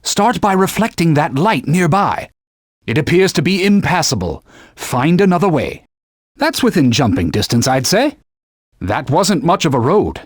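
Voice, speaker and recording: A stern talking sword, formal archaic speech, husky tone, very lively pitch, dry booth. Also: male voice, normal speed, no echo. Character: proud talking sword, stern knightly male mentor. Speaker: sword companion giving gameplay hints. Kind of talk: video game voice clips. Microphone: dry studio booth.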